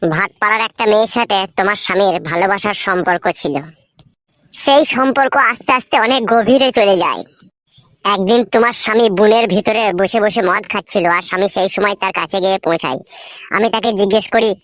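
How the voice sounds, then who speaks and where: A man voicing an earnest, cautious village neighbour in a cartoon, with a pitched-up voice. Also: a little fast, a bit bright, no echo. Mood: confiding, serious.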